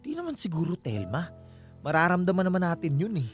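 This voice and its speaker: A man's voice: high voice, huge pitch swings, brisk, soft background music. A man acting a trusting, stubborn husband in a Filipino radio drama. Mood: reassuring, dismissive. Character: trusting, stubborn husband Emil, calmly firm.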